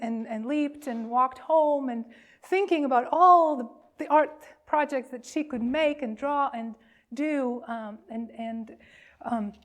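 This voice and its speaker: A woman with a fairly high voice leads worship as a minister. She speaks very slowly, leaving pauses between phrases as she reads and tells a story for children.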